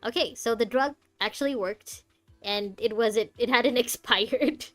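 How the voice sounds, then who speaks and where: A woman giving live playthrough commentary, chatting casually to viewers and reacting aloud in a jokey, expressive way. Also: a little high, unhurried. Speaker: game streamer. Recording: music behind the voice. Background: game music.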